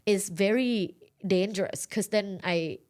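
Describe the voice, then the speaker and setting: A woman's voice: mid-range voice, a little slow, darkish tone. A young adult woman from Thailand, a podcast guest who talks candidly and frankly about her life, with many fillers. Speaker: podcast guest, sex educator. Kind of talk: casual interview chat.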